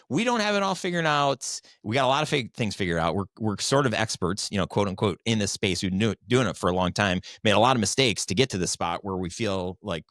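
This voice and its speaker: A man's voice: fairly low pitch, fairly bright tone, no room sound, clean studio sound. A middle-aged man talking informally and earnestly as a guest in a conversational podcast interview. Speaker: podcast guest, video company founder.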